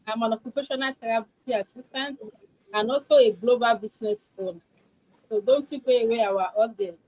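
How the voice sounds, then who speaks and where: A woman's voice: on the high side, brisk, darkish tone, low-quality recording. A woman introducing herself to a livestream audience as one of the presenters. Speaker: livestream presenter and business owner.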